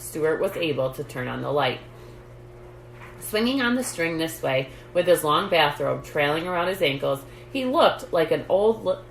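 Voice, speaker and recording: Low-voiced, creaky woman reading a storybook. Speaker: storytime reader, host. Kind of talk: reading a children's book aloud. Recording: roomy echo, light background noise.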